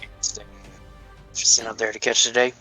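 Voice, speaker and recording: A man playing a sensible, patient older guardian in a cartoon table read, with a measured, mildly admonishing delivery. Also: medium pitch, monotone, pushed and bright, husky, faint music behind. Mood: calm.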